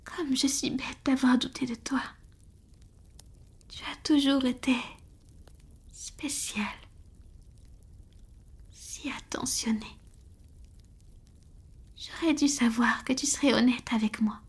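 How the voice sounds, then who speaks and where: A woman plays a doting female vampire in an intimate roleplay audio. Her voice is fairly high and very breathy, mostly whispered. She speaks slowly and hesitantly, full of affection, as if to a lover close by. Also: steady tone, full character voice. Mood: moved, tender. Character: tender, lovestruck female vampire, devoted and doting.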